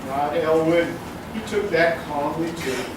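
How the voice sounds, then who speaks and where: A man's voice: on the high side, steady tone, measured pace, close to an everyday voice. Guy doing exasperated older judge, huffy n emphatic, radio play in a big echoey room. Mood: wistful, exasperated. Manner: emphatic, declamatory, huffing. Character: blustery, exasperated older male family judge. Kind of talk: live radio-play comedy stage acting. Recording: echoey hall, far mic, noisy.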